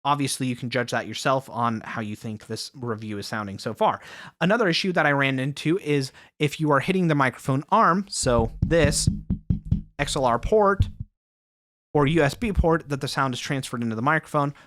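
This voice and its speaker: A man's voice: medium pitch, moderate pace, a bit bright. A man giving a casual product review with chatty, self-deprecating asides, speaking off axis into a studio dynamic microphone. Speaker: tech reviewer. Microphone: studio dynamic mic, spoken off axis.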